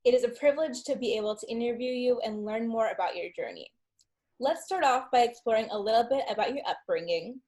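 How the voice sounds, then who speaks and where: A woman's voice: fairly high pitch, a little mellow. A young woman hosting an interview show, reading an earnest introduction and asking questions.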